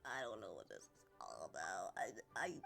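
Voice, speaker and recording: A woman's voice: a bass voice, huge pitch swings, brisk, vocal fry, fairly bright tone. A woman streaming a game with casual, playful, exclamatory asides. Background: game music.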